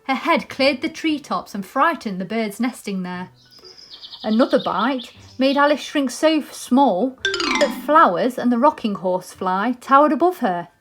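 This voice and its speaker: A woman's voice: a little high, expressive, unhurried, over music. A British woman presenting and reading a children's story aloud.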